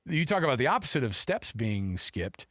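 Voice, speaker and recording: A man co-hosting a sports radio show with teasing, excitable, conversational banter. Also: mid-range voice, normal speed, no room sound, clean studio sound.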